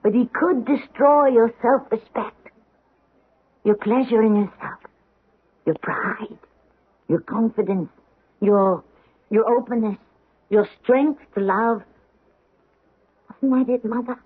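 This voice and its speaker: Insistent, husky-voiced grown daughter. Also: female voice, a little high, slow pace, long pauses. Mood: intense. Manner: coaxing, intense, probing. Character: solicitous, insistent grown daughter pressing her aged mother. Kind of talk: 1970s radio drama acting. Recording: muffled, phone-like old radio broadcast.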